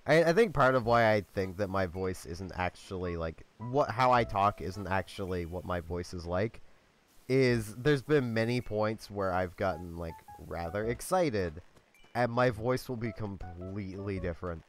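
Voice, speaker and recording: A young man hosting a let's play, talking in a monotone, low-key, rambling way with a slightly scratchy voice. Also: a little slow, soft background music. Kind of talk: casual gameplay commentary.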